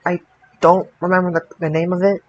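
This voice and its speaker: A man with a slightly high voice hosts a casual podcast discussion. His delivery is hesitant and halting, often doubling back on words. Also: level tone, lo-fi sound. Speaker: podcast host. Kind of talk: hosting a casual group wrestling discussion.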